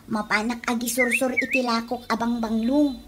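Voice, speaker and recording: An actress playing a nosy, blunt younger sister in a comedy radio drama, with an Ilocano accent. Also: female voice, on the high side, steady tone, normal speed, close to an everyday voice. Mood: teasing, blunt. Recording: noisy radio drama recording.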